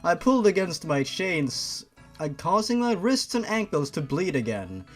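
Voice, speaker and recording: A man's voice: sits high, very animated, unhurried, faint music behind. A man hosting a fan fiction reading, narrating expressively and adding sardonic, incredulous asides.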